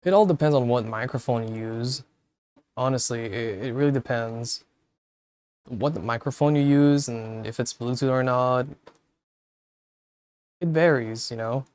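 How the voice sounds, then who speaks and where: Man, casual rambling chat, swears a lot, chuckles, smooth clean voice, cheap wireless headset mic. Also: medium pitch, measured pace, long pauses. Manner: relaxed and rambling, swears freely, chuckles mid-sentence. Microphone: cheap wireless VR headset mic held far from the mouth.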